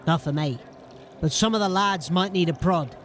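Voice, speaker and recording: An actor playing a tough, wary gang lieutenant in a fantasy audio drama, speaking in a guarded and ominous manner. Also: male voice, high-pitched, normal speed, fairly bright tone, slight background noise. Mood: ominous warning.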